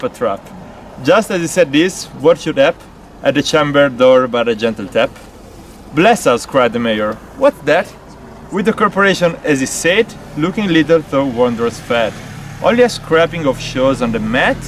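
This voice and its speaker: A man reads old poetry aloud dramatically. His voice is of middle pitch, with faint outdoor ambience and vehicles behind it. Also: animated, moderate pace, a little hiss or hum. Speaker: amateur reader. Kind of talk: dramatic verse reading.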